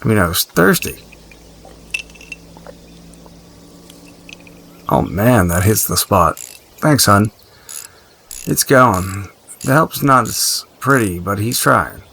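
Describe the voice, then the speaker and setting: An actor playing a practical, blunt but uneasy survivor and tinkerer in an audio drama, talking in a halting, gruffly casual, profane way. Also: male voice, fairly low pitch, lively intonation, sharp tone, a little hiss or hum, heavily acted. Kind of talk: audio drama dialogue, naturalistic.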